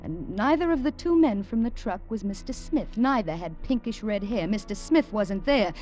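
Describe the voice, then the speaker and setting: A woman's voice: mid-range voice, expressive, moderate pace, darkish tone, natural voice. An actress playing a frightened young woman who narrates her ordeal and stammers in a 1950s radio drama. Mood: shaken. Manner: breathless, stammering, tense. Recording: old radio drama with music underneath.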